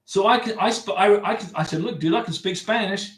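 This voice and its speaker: A man's voice: high-pitched, a little fast, a bit bright. Chatty man in an echoey room. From United States. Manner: chatty, rambling, reads viewer comments aloud. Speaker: livestream host giving visa advice. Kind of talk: casual livestream chat. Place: home room.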